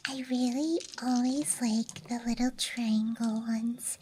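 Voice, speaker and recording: A woman murmurs an ASMR show-and-tell, mostly in a whisper. Her voice sits fairly high and stays very even, with little melodic movement. She takes her time and lets phrases trail off, over clearly audible background noise. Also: slow. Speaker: ASMR host. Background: night campground ambience and clicking of handled objects.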